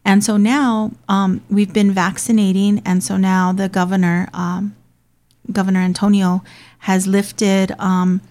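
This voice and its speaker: A woman's voice: medium pitch, little pitch movement, a little slow, darkish tone. A woman who grew up in Hawaii, hosting and talking in a casual, earnest way with frequent ums. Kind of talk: casual conversational talk.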